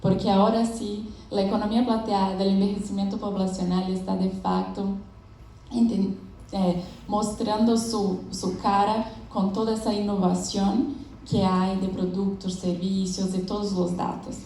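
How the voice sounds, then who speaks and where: A young Brazilian woman with a Portuguese accent gives a friendly talk at a business conference, pausing to find her words and laughing now and then. Her voice is mellow and dark. The sound is very echoey, picked up from a distance in a big hall. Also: mid-range voice, level tone, a little hiss or hum. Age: young adult. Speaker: conference speaker. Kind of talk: conference presentation. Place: large event hall with audience.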